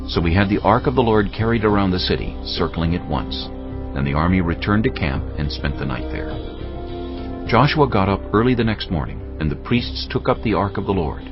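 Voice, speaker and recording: A man's voice: low-pitched, animated, fairly quick. A man giving scripted narration for a dramatized audio Bible. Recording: dull audio, music underneath.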